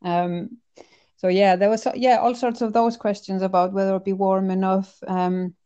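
A woman's voice: medium pitch, little pitch movement, moderate pace, dark tone, clear, resonant tone, highs cut off. A middle-aged woman from Iceland talking casually as an interview guest, choosing her words in a hesitant, reflective way. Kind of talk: casual conversational interview, personal anecdotes.

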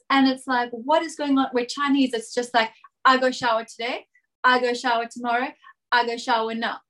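Animated reflective young woman. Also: a little high. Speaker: guest. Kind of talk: casual interview chat.

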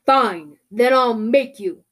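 A woman voicing a creepy, menacing unseen creature with a scraggly voice in a fantasy story. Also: slow pace. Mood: angry. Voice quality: deep, scraggly, whispery. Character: creepy whispering voice calling from a magic door.

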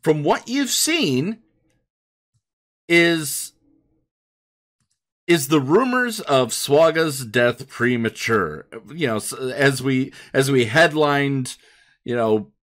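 A man's voice: medium pitch, lively intonation, slow, long pauses, a bit bright. A man hosting a podcast, putting questions to guests in casual interview chat. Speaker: podcast host.

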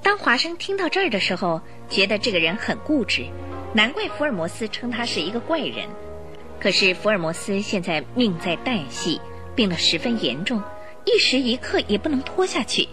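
A woman narrating a radio drama in an expressive, scripted storytelling style. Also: medium pitch, moderate pace, over music.

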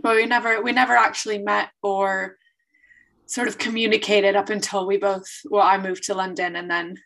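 A woman's voice: mid-range voice, level tone, moderate pace, gravelly, fairly bright tone, low-quality recording. A woman co-hosting a podcast who asks loose, rambling questions full of fillers. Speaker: podcast co-host. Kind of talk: casual podcast chat.

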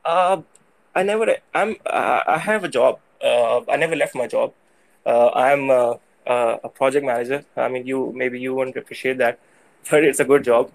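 Halting Pakistani man with a creaky voice. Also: medium pitch. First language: not English. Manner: halting, hesitant, frequent hedging. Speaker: guest caller. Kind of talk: personal story told on a live audio show.

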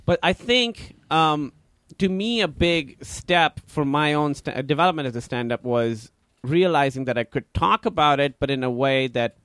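A man's voice: fairly high pitch, lively intonation, moderate pace, a bit bright, no room sound, studio quality. A man in his thirties with a Pakistani accent, a comedian chatting as a podcast guest, rambling with many fillers and restarts. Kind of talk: casual interview chat.

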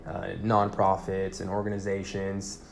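A young man talking casually and haltingly on his solo podcast, using informal slang. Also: deep voice, monotone, gravelly, slight background noise. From California, born in Puerto Rico. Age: young adult. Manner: informal, slangy, hesitant with frequent pauses. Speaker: podcast host.

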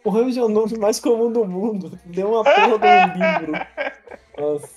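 A young man with a Brazilian Portuguese accent, co-hosting a casual podcast chat and telling stories in a loose, profane, animated way. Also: high voice, moderate pace, highs cut off, crowd noise. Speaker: podcast co-host.

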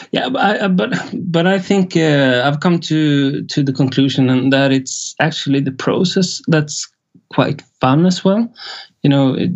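A middle-aged man from Sweden with a Swedish accent, a musician guest in a casual interview, speaking haltingly with many filler pauses and frequent chuckles. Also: mid-range voice. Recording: dull lo-fi sound.

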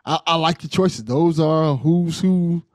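A man's voice: on the high side, unhurried, darkish tone, dry recording, clean studio sound. An American man hosting a podcast in a casual, conversational style with a jokey, informal manner. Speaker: podcast host.

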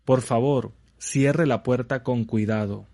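A man reading translated phrases for language learners in an even, measured way. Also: medium pitch, creaky, no echo, clean studio sound.

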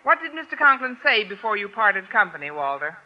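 An actress playing a wry, wisecracking American high school English teacher in an old radio comedy, with dry, articulate delivery. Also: female voice, sing-song, fairly quick, close to an everyday voice. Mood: sardonic. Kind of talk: 1940s-50s American radio comedy acting and narration. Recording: muffled old-time radio recording.

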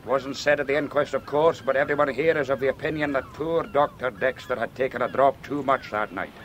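An actor playing a pious, formally courteous Scottish church minister in a radio drama. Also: male voice, mid-range voice, fairly quick, fairly bright tone, dull audio, a little hiss or hum, close to an everyday voice. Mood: earnest. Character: pious, patronising Scottish island minister, male.

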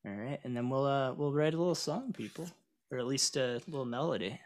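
A man's voice: medium pitch, a little fast. A young man, a singer-songwriter guest, chatting loosely on a live stream call with many fillers and restarts. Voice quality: gravelly. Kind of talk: casual live-stream interview.

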